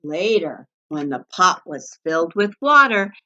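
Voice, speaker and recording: A woman narrating a children's story aloud in an expressive read-aloud style, on a slightly muffled recording. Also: on the low side, measured pace. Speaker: storybook reader.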